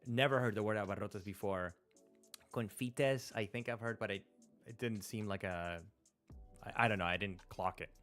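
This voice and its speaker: A man's voice: on the low side, normal speed, a bit bright, soft background music. A man in his thirties, a native Spanish speaker, hosting a live stream and commenting casually as he plays, thinking aloud with many fillers and restarts. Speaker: livestream host. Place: small room at a desk. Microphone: close studio mic on a stand.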